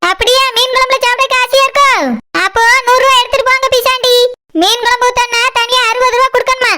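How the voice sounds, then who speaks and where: A performer voicing a grumpy, sharp-tongued cartoon village woman, sarcastic and scolding, with a Kanyakumari Tamil accent. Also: extremely high pitch, level tone, quick pace, background noise. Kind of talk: comic cartoon dialogue.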